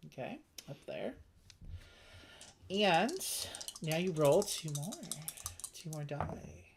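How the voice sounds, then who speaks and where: A woman's voice: deep voice, slow, slight background noise. A woman with a slight lisp, chatting casually as she explains and plays a tabletop game. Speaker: host teaching a board game playthrough. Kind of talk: casual chat while playing a roll-and-write game.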